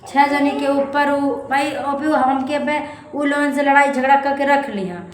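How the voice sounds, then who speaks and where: A woman's voice: sits high, steady tone, unhurried. A young woman with a Bhojpuri accent from eastern Uttar Pradesh, confiding plaintively and casually to her vlog viewers and repeating her phrases. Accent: Bhojpuri-accented Hindi, eastern Uttar Pradesh. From eastern Uttar Pradesh, India. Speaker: family vlogger. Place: indoors in a rented room. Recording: echoey room with clear background noise.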